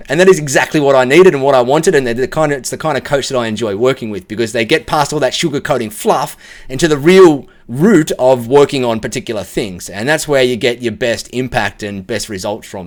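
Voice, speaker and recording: A man's voice: medium pitch, lively intonation, brisk. An Australian man giving a casual, candid spoken testimonial, chatty and unpolished. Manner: candid and chatty, many fillers and restarts.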